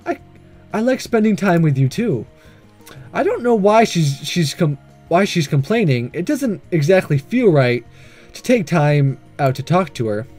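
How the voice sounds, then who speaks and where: An earnest, apologetic teenage student from a romance game, read by a man. The voice is high and sincere, plainly delivered, with music in the recording. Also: big pitch swings, a little slow, darkish tone.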